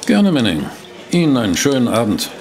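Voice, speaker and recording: An actor playing a courteous, inquisitive newspaper reporter in a German audio drama, speaking politely and formally. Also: male voice, mid-range voice, wildly swooping pitch, a little fast. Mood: curious, courteous. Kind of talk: German audio drama acting and first-person narration.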